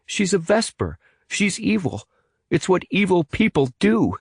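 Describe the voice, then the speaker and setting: A man's voice: high-pitched, very animated, a little slow, gravelly, breathy edge, no echo, clean studio sound, pitched above the performer's own voice, exaggerated voice. A man doing a snappish, hot-tempered young captive. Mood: angry. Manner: snappish, threatening.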